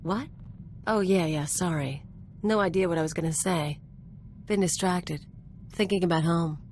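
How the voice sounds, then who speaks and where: A woman's voice: on the low side, moderate pace, darkish tone, slight background noise, natural voice. A woman playing a brash, guarded young female sidekick in a video game, speaking in a blunt, casual way. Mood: distracted, guarded. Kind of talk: scripted video game acting, conversational.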